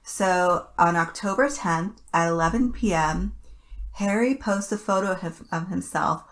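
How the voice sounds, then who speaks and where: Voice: medium pitch, measured pace, fairly bright tone. A podcast host chatting casually and enthusiastically, in a gushing fan style.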